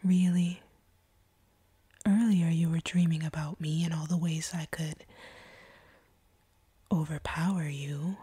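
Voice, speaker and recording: A woman playing a seductive, teasing, slightly sadistic vampire captor in an intimate audio roleplay, speaking in a breathy whisper close to the microphone. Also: low-pitched, fairly even intonation, mellow, dry recording, full character voice. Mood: taunting, hungry. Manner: slow, teasing, predatory purr. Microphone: close microphone, dry.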